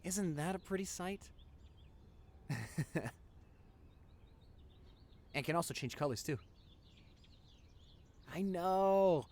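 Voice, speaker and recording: A man voicing a nerdy young boyfriend in an intimate roleplay audio. His pitch swings widely as he stammers eagerly, affectionate and teasing, talking one-on-one to his girlfriend. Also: fairly bright tone, full character voice. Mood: cozy, delighted.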